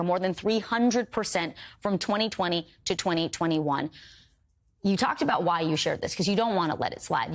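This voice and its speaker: Low-pitched female news anchor. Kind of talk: broadcast news interview.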